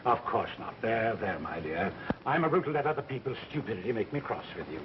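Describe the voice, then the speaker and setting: An actor playing a pompous, two-faced bigwig, both bullying and oily, in a 1930s film. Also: male voice, fairly low pitch, very animated, quick pace. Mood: oily, patronizing. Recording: muffled, noisy 1930s film soundtrack.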